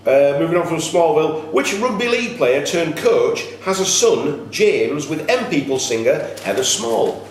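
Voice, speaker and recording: High-voiced man hosting a quiz, echoey room. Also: expressive, a little slow. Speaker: quiz master reading questions. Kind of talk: live quiz hosting, reading questions aloud. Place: echoey indoor room at a cricket club. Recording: echoey room, faint background noise.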